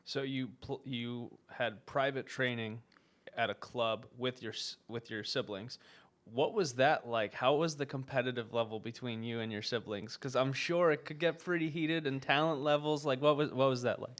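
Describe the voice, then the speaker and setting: A young man hosting a sports podcast, opening with an upbeat scripted introduction and then asking relaxed interview questions. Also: mid-range voice, normal speed. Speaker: podcast host, sports communications staff.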